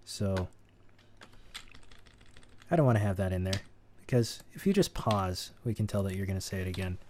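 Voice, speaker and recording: Low-voiced man thinking aloud while editing. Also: expressive, normal speed, darkish tone, slight background noise. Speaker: sound editor teaching. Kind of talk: screen-recorded tutorial.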